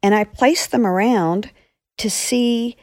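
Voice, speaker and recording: Slow-paced woman explaining casually. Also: a little high, a little mellow, no room sound. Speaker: author answering questions.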